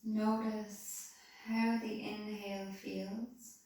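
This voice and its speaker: A woman calmly leading a guided body-scan meditation, giving soothing, unhurried instructions. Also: mid-range voice, slight background noise. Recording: very echoey room, voice distant from mic.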